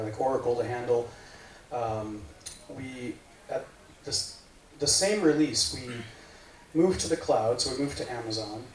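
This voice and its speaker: A man's voice: medium pitch, a bit bright. A man in his forties from the United States giving a casual meetup tech talk, rambling and full of fillers like "right, like". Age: 40s. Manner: rambling, filler-heavy, says "right, like" often. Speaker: meetup speaker. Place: meeting room. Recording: echoey room from far off, faint noise.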